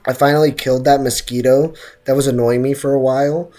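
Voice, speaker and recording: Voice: mid-range voice, fairly even intonation, fairly quick, warm tone. A game streamer chatting casually with viewers in a slangy, joking, mock-boastful way. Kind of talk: casual gaming livestream commentary, chatting with viewers.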